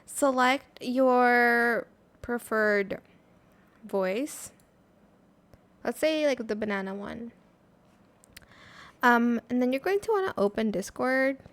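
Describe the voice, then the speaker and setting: A woman with a fairly high voice hosts a casual software tutorial. She talks informally, walking through steps and thinking aloud with some hesitation. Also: expressive, takes it slowly, long pauses. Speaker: tutorial host.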